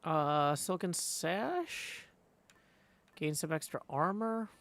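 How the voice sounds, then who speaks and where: A man's voice: on the high side, lively intonation, slow, fairly bright tone, dry recording. A man streaming a video game, chatting casually, muttering to himself and reading game text aloud.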